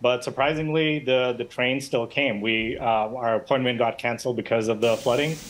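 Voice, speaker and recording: A man from Bangladesh recounts what he saw in a relaxed, conversational way, pausing with fillers and restarting sentences. His mid-range voice comes through a remote video link. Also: medium pitch, a little hiss or hum, poor audio. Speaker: interview guest, eyewitness. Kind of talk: live news interview.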